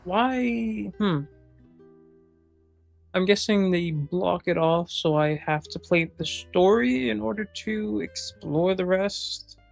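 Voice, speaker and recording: A man's voice: high voice, slow pace, gravelly, faint music behind. A man giving casual reaction commentary over gameplay, talking in a blunt, sarcastic, sweary way. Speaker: game streamer.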